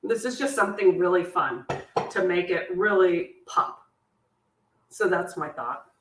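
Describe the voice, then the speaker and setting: A woman with a slightly squeaky voice hosts a live cooking class, answering viewers' questions in a chatty way with self-deprecating asides, while a sore back bothers her. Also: measured pace. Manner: chatty, warm-hearted asides, laughs at herself. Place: home kitchen. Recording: echoey kitchen, voice from a distance. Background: clinking cutlery and dishes.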